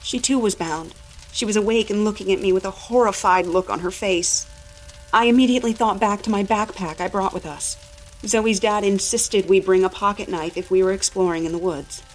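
A woman's voice: mid-range voice, normal speed, faint music behind, rough recording. A woman reading a first-person horror story as a dramatic narrator.

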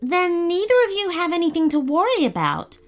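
A woman's voice: very high voice, sing-song, fairly quick, soft background music, voice pushed up. A woman voicing a small, friendly, curious female pickle who speaks politely and earnestly in a children's story. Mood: matter-of-fact.

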